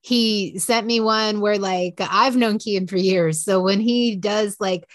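A woman's voice: mid-range voice, steady tone, takes it slowly, dry recording, studio quality. A woman co-hosting a podcast, telling stories bluntly and laughing as she goes. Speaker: podcast co-host. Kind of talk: casual podcast chat.

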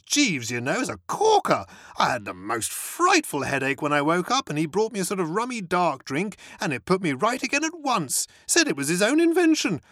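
A reader voicing a giddy young male aristocrat, breathless and exuberant, in a high, chirpy voice. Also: bright, forceful tone, no room sound, studio quality, exaggerated voice.

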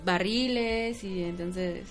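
A woman's voice: medium pitch, slow pace, faint music behind. A young woman with a Mexican accent, co-hosting a podcast in a chatty way and steering the talk back on topic. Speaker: podcast co-host. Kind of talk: casual chat.

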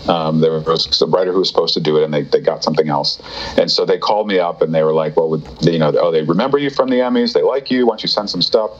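A man with a very deep, low voice talks through his story in a loose, rambling way. He restarts sentences and fills pauses with "um" and "you know." The sound is dull and lo-fi. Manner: rambling, restarts, many fillers. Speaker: guest, TV writer. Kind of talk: casual interview storytelling.

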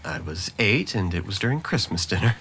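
A man's voice: on the low side, lively intonation, brisk, sharp tone, a little hiss or hum. A man playing a depressed, sarcastic, volatile young man who is bitter and profane, in an audio drama.

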